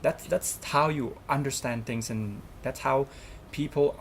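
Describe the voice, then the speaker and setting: A man's voice: medium pitch, unhurried, crowd noise. A young man whose first language is Thai, answering interview questions earnestly as a student representative, with many fillers and restarts.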